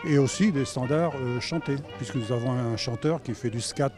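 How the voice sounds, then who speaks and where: A man who plays in a jazz band from Normandy, answering an informal interview haltingly and repeating words. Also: medium pitch, over music. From Normandy, France.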